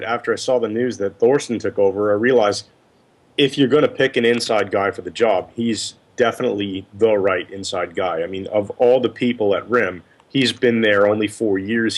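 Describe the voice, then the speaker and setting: Lower-voiced man giving casual analysis. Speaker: podcast panelist giving analysis. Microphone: Skype video call.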